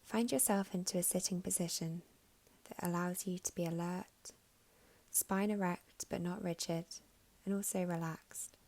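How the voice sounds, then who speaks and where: Low, soft, creaky woman guiding meditation. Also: fairly even intonation. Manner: soft, soothing, unhurried with long pauses. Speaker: meditation guide. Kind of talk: guided meditation narration.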